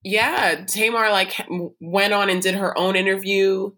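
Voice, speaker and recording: A woman's voice: mid-range voice, steady tone, a little slow, pushed and bright. A young woman co-hosting a podcast, telling gossip in a chatty, casual way. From United States. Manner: chatty, gossipy storytelling with fillers. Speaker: podcast co-host. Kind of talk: casual chat.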